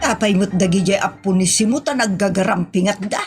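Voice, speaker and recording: A woman's voice: mid-range voice, brisk, music in the background, natural voice. Woman acting a gossipy Ilocano wife. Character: gossipy, prying wife of an old man. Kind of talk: amateur regional comedy drama acting.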